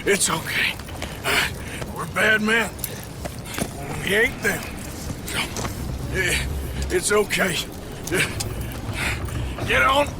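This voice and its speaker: Slow, dry American outlaw gunslinger. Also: male voice, sits high, lively intonation, full character voice. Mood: awkward, reassuring. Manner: drawling, dry, understated. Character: gruff, dry, laconic male outlaw gunslinger in his thirties. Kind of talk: cinematic western game acting. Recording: clear background noise.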